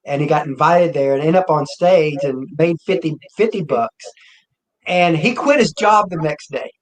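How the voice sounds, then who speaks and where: Fairly high-voiced male interview host. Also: normal speed, rough recording. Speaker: show host. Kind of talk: casual interview talk.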